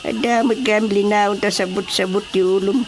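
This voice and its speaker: A man's voice: high-pitched, fairly even intonation, normal speed, a little hiss or hum, close to an everyday voice. A man with an Ilocano accent playing a teasing, drawling village chairman in a radio drama comedy. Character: teasing, slow-talking village chairman, comically mocking.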